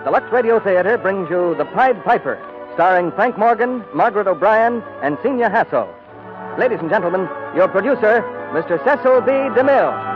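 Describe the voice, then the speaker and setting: A man's voice: high voice, lively intonation, normal speed. A man announcing a show in a grand, declamatory 1940s radio style. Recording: dull vintage radio recording, loud music.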